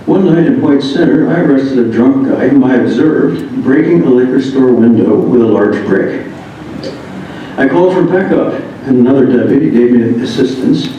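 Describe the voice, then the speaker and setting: A man's voice: mid-range voice, expressive, normal speed, warm tone. An American man reading humorous first-person anecdotes aloud in an amused manner. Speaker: reader of another writer's memoir. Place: echoey indoor room. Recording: echoey room, distant mic, dull, faint noise.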